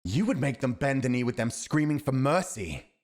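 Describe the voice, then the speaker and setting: A man's voice: medium pitch, lively intonation, normal speed, lightly acted. A man playing a loyal, principled royal commander in a fantasy audio roleplay, speaking in a grave, formal and dutiful manner. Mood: indignant, threatening.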